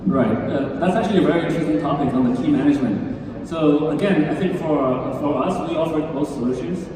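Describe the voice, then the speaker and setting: A man speaking on a conference panel, talking in halting stretches with many restarts and fillers. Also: a little high, a crowd in the background. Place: large conference hall stage. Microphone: handheld mic, filmed from far in the audience. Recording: echoey hall filmed from far off.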